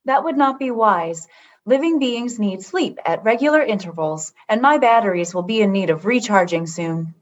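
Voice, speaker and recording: An actress playing an earnest, kindly android lieutenant in a comedy radio play, formal and precise. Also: female voice, mid-range voice, lively intonation, moderate pace, dull audio, lightly acted. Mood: concerned. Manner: formal, precise, avoids contractions. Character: earnest, literal-minded, kindly female android junior lieutenant.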